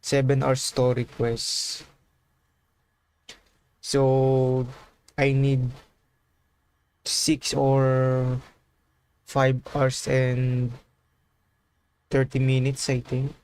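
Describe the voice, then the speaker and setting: A man chatting casually on a game livestream in a halting way, often repeating himself. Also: medium pitch, monotone, darkish tone, smooth. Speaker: livestreamer playing a video game.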